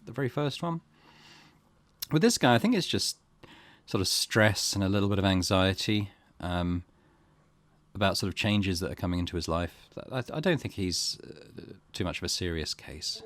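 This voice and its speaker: A man's voice: low voice, animated, moderate pace, fairly bright tone, dry recording. A man giving casual let's play commentary as a gaming host, musing tentatively in a conversational way.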